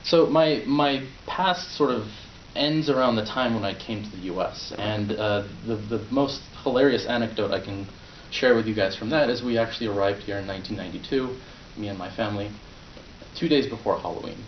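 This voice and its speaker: A young man born in Poland, Polish his first language, delivers a prepared speech to a club in a low, easygoing voice, with fillers and restarts. He is caught from a distance in a roomy meeting room on a dull, muffled recording. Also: brisk, a little hiss or hum. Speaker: club speaker.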